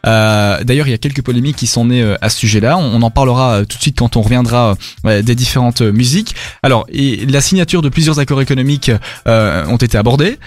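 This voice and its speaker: A man's voice: medium pitch, quick pace. A man with a Belgian French accent hosting a casual, joking news chat with co-hosts in a radio studio. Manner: joking, teasing. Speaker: radio show host. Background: music under the talk.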